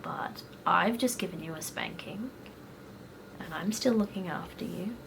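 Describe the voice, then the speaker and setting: Calm caring doctor, read by a woman, soft low voice, gravelly, soothing, faint hiss. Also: measured pace. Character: gentle, caring grown man, a doctor.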